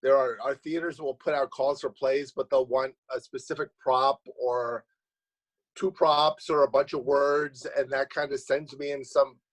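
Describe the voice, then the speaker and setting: Male playwright guest, medium register, casual and halting in interview. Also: medium pitch, fairly even intonation, poor audio.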